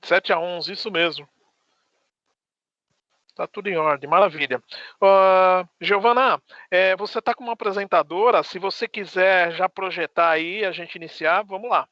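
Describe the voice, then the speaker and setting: A man's voice: sits high, normal speed, dry recording, dull audio. A man with a Brazilian accent leading an online class in an informal, encouraging, casual way.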